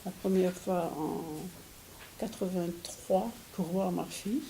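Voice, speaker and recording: Older woman from Syria, mellow and hesitant, faint hiss under the recording. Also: fairly low pitch, fairly even intonation, slow pace, vocal fry, low-quality recording. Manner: hesitant, with frequent restarts and soft laughs. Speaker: interviewee. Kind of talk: recorded interview.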